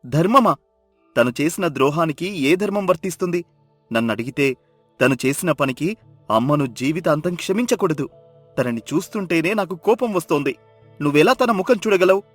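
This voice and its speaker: A man's voice: fairly high pitch, lively intonation, normal speed, faint music behind. A man voicing an angry, unforgiving adult son in a dramatised moral story, indignant and accusing. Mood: angry, bitter.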